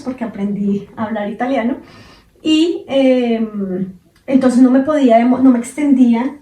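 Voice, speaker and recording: Mellow-voiced woman in her forties. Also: a little high, echoey room, distant mic, a little hiss or hum, rough recording. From Colombia. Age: 40s. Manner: relaxed, many fillers like "pues". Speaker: podcast co-host. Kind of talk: casual conversation.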